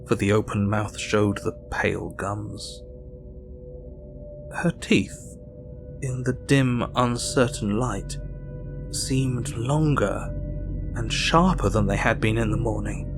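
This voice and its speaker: An actor playing a melancholy Victorian doctor who narrates his diary in an audio drama, sombre, measured and weary. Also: mid-range voice, lightly acted.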